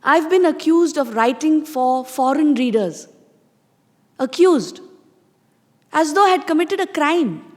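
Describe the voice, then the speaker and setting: A woman's voice: sits high, lively intonation, slow pace, pauses often. An actress with an Indian accent playing a poised, self-satisfied woman novelist giving a theatrical, confiding address in a reverberant space. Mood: defensive, indignant. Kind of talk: stage monologue reading. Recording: reverberant hall.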